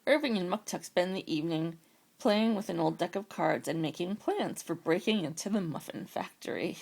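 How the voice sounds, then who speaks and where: A woman's voice: fairly low pitch, moderate pace, vocal fry, darkish tone. A woman reading a children's storybook aloud as a parent does at bedtime.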